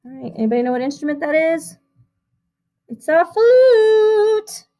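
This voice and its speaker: A woman music teacher reading a picture book aloud to young children in an expressive, animated way, with chatty asides. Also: very high-pitched, measured pace, highs cut off.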